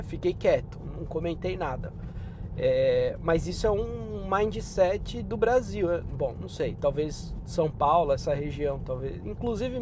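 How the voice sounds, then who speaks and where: A Brazilian man with a Brazilian Portuguese accent giving job-hunting tips in a relaxed, chatty advice vlog. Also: on the high side, background noise. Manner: relaxed and chatty, often drops in "cara" and "né".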